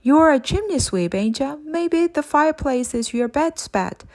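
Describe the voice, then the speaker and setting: A woman's voice: high voice, lively intonation, measured pace, darkish tone, pitched above the performer's own voice. Woman voicing a plucky, colloquial workhouse kitchen helper. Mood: shouting.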